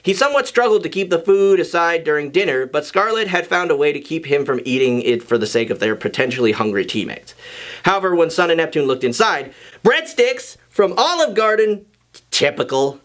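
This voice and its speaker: Animated, higher-voiced man reading a story. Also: fairly quick. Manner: expressive, acts out characters' dialogue. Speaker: fan fiction reader, host.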